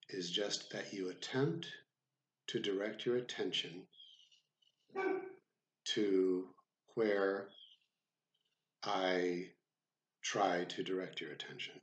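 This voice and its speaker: A man guiding a meditation as an instructor, speaking in a measured, deliberate way with frequent pauses. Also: low-pitched, steady tone, echoey room, lo-fi sound. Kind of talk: guided body scan meditation instruction. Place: room with the door left open.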